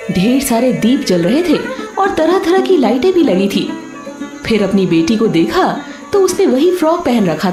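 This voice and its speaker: A woman narrating a scripted story as a storyteller, in an expressive manner. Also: a little high, reverberant. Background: soft instrumental music with sitar.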